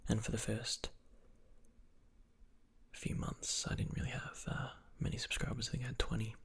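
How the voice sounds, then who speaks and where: A young man, an ASMR channel creator, answers viewer questions in a soft whisper, rambling with fillers. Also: fairly low pitch, fairly even intonation, normal speed, gravelly, slightly rough.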